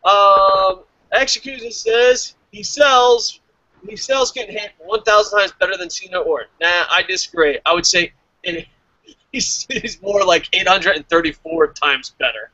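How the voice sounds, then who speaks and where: A man's voice: sits high, measured pace, no echo, dull audio. A man hosting a casual wrestling review show, joking and swearing freely as he reads out listener comments. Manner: profane, joking, animated.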